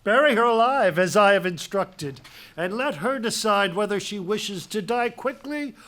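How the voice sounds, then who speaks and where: Imperious tragic king, high-pitched and commanding, stubborn and quick to temper, Greek drama. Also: lively intonation, bright, forceful tone. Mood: angry, commanding. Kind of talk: dramatic reading of Greek tragedy.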